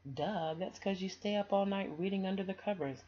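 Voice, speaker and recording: A woman's voice: medium pitch, fairly quick, dark tone, pitched above the performer's own voice, lightly acted. A woman voicing a blunt, teasing young schoolboy brother from a children's storybook. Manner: blunt, exclaiming. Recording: dull, noisy home recording.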